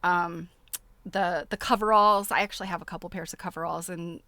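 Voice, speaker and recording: A woman hosting a casual livestream, chatting to her viewers in an expressive, giggly, informal way. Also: lowish voice, brisk, no room sound. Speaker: livestream host.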